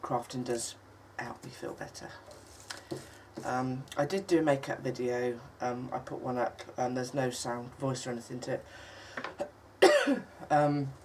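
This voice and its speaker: A woman hosting a crafting tutorial, croaky and husky from a cold, chatting in a halting way with lots of ums and the odd cough. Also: steady tone. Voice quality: husky, low for her. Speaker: craft channel host. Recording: distant mic with faint noise.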